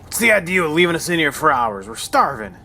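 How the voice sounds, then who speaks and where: A man playing a hot-tempered, indignant captive in a western radio horror drama, blustering and excitable. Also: fairly high pitch, fast talker, slight background noise, heavily acted. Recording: loud music behind.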